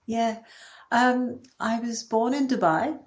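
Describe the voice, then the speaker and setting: A woman's voice: on the high side, slow pace. Woman, thoughtful podcast guest. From India. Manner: thoughtful, candid, light laughs. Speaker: podcast guest, agency co-founder. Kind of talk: reflective conversational interview.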